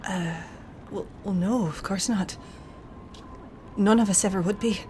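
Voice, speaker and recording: A woman's voice: mid-range voice, darkish tone, slight background noise, natural voice. A woman playing a distressed, stammering young apprentice in a fantasy audio drama, loyal to a missing master. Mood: flustered. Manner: halting, stammering. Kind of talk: actual-play audio drama acting.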